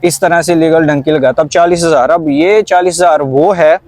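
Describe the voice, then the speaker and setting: A man's voice: on the high side, normal speed, darkish tone, no echo. A man with a Pakistani accent talking in a conversational discussion, explaining earnestly and repeating phrases for emphasis.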